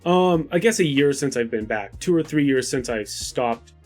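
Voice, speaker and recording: A man's voice: mid-range voice, animated, normal speed. Casual male tabletop player, music behind. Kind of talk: casual chat, in-game discussion.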